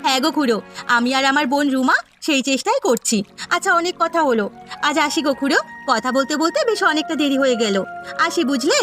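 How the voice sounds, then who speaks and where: A woman's voice: sits high, animated, quick pace, a bit bright, faint music behind. A woman voicing a poor, earnest and deferential village girl, an elder sister in a cartoon story. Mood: polite.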